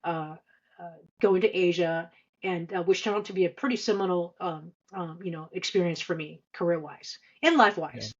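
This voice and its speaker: A woman in her fifties answering interview questions conversationally, with halting, hesitant speech full of stammered restarts. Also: fairly low pitch, steady tone, lo-fi sound. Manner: halting, hesitant delivery with frequent stammered repeats and long filler runs. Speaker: podcast guest, senior finance executive.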